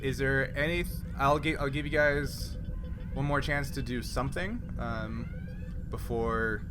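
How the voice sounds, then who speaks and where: Male tabletop game master, conversational, halting delivery, average pitch, noisy ambient recording. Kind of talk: casual tabletop game moderating. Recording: noisy group recording, constant ambient rumble.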